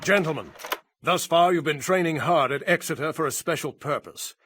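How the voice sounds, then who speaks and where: Stern British officer, sharp bright voice. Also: male voice, fairly high pitch, normal speed. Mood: stern, warning. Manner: crisp, stern, formal. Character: stern, sardonic British army officer briefing his men. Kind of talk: military briefing, scripted game acting.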